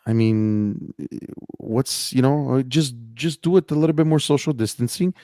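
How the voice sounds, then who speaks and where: A man's voice: mid-range voice, normal speed, mellow, no echo, clean studio sound. A man in a casual podcast chat, hesitant with many fillers, turning indignant. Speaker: podcast guest.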